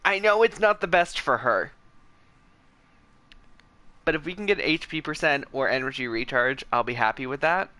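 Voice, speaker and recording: A man's voice: fairly high pitch, expressive, normal speed, long pauses, bright, forceful tone. A man chatting casually and commentating as he livestreams a video game. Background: faint game music.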